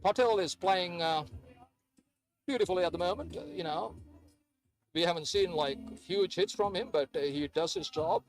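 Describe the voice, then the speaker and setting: A man's voice: high-pitched, sing-song, normal speed, vocal fry, soft background music. A man who grew up in Sri Lanka, giving hesitant, chatty play-by-play of a live cricket match with many fillers. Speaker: cricket commentator.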